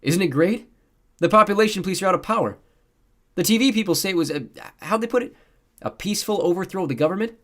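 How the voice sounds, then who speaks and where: A man's voice: sits high, expressive, fast, voice pushed up. A man voicing an eager, thrilled young boy sharing news in a story. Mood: eager, beaming.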